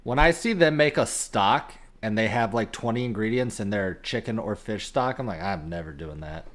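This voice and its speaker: A man's voice: on the low side, animated, normal speed, a bit bright. An American man talking casually and bluntly as a podcast co-host. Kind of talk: casual group chat.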